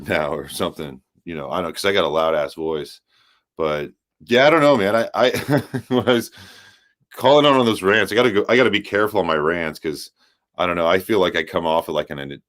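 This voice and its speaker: A man calling in to a livestream on a laptop's built-in microphone, speaking haltingly with lots of fillers. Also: deep voice, fairly bright tone. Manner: halting, filler-heavy, self-conscious. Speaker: regular caller and panelist. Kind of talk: casual call-in chat.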